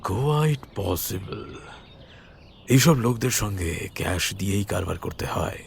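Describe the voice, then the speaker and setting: Deep, gravelly police captain played by a man. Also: animated, slow, slight background noise, heavily acted. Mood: calm, explanatory. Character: calm, forthcoming male American police captain. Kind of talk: audio story acting.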